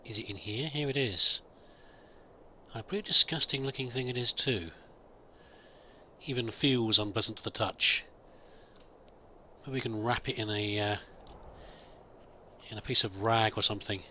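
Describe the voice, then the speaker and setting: Bright-voiced man narrating a game roleplay. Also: lowish voice, moderate pace, long pauses, a little hiss or hum. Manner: musing aloud, addressing a companion. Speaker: let's play host. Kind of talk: improvised in-character game commentary.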